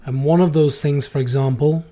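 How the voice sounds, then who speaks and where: A man hosting his own podcast while sick and losing his voice, reading a poem slowly and then ranting about everyday habits. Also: a little high, fairly even intonation, warm tone. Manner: slow deliberate reading, then opinionated ranting. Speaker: podcast host. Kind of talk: solo podcast with poetry reading and rants.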